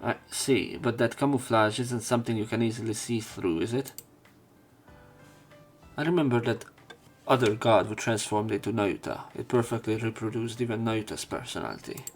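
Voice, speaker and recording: A man's voice: on the low side, moderate pace, soft background music. A man reading visual novel text aloud as a let's play host, casual and prone to interrupting himself.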